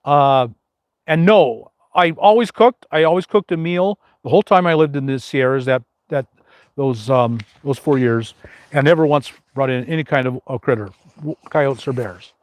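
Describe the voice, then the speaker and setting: A man's voice: mid-range voice, normal speed, dry recording. An older man with a big, carrying voice hosts a casual live question-and-answer stream, rambling with many fillers and restarts.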